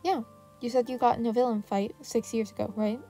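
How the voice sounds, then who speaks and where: Woman as an earnest, mellow-voiced teenage boy. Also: fairly high pitch, steady tone, a little fast, soft background music, natural voice. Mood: explaining, determined. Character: earnest, guarded, clever teenage boy student.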